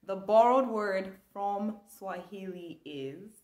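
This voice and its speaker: A woman's voice: mid-range voice, takes it slowly, echoey room. A young woman from Zambia, teaching an online language lesson with enthusiasm, gesturing as she talks. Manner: animated, gestures a lot, enthusiastic. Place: small room indoors.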